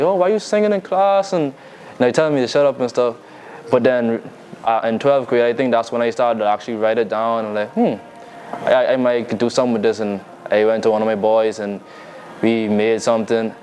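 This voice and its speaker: A man's voice: mid-range voice, brisk, darkish tone. Young bahamian guy chatting loose and easy, lots of likes and restarts, bit of hiss behind. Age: young adult. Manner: laid-back, loose, lots of fillers and restarts. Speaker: musician guest. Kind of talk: casual interview chat.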